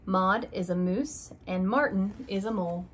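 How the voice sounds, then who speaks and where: A young woman reading a children's alphabet picture book aloud, slow and deliberate, stressing each opening letter, in a small room. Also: medium pitch, husky. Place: small room indoors by a curtained window.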